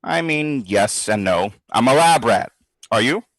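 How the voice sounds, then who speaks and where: An actor playing a cocky sceptic making a flippant, chatty audio diary in a drama. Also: male voice, medium pitch, animated, unhurried, no echo. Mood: breezy, chatty. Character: cocky, sarcastic male sceptic recording himself on a ghost study.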